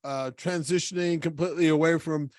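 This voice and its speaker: A middle-aged man hosting a podcast interview, chatty and self-deprecating, quick to laugh at himself. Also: fairly high pitch, steady tone, darkish tone, no room sound, clean studio sound. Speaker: podcast host. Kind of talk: casual interview chat.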